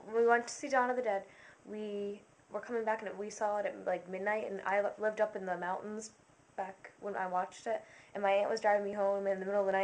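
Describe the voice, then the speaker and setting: A young woman talks through a casual list, rambling and self-conscious, with plenty of fillers and sudden hushed asides. Her voice sits at an ordinary middle pitch. A faint hiss of background noise sits under the recording. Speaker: vlogger. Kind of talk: casual to-camera list monologue.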